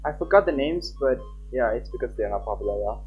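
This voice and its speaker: A man live-streaming a game, reading aloud and chatting, halting at times and stumbling over his words. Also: mid-range voice, lively intonation, muffled recording. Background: game music.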